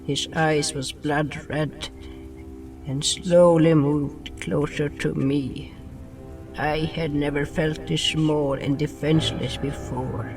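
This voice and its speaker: Slow, dramatic male storyteller over music. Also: fairly high pitch, level tone, recorded from a distance, rough recording. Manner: dramatic storytelling, dropped articles. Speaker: narrator. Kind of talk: scripted first-person story narration.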